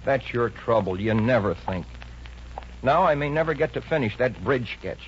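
An earnest, flustered young American boyfriend played by a man in an old radio comedy. His medium-pitched voice sounds exasperated and pleading, on a dull, noisy recording. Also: lively intonation, normal speed, natural voice. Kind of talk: 1950 radio comedy acting.

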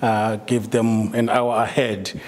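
A man's voice: on the low side, level tone, slow, in a large hall, crowd noise. A middle-aged man from Zimbabwe with a Zimbabwean accent, giving a talk to staff with many ums and uhs and some light laughter. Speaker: guest speaker, solicitor. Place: meeting room, indoors.

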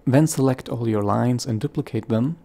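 A man hosting a software tutorial, giving plain step-by-step instructions. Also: mid-range voice, moderate pace, creaky. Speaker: tutorial host.

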